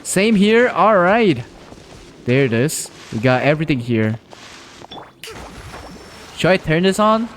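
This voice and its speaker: A man's voice: a little high, sing-song, slow pace, long pauses, slight background noise. A man streaming live gameplay, chatty and playful, talking to himself as he plays. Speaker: game streamer.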